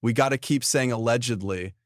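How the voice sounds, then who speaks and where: A man's voice: medium pitch, fairly quick, dry recording, clean studio sound. A man hosting online gossip commentary in a blunt, mocking, taunting way.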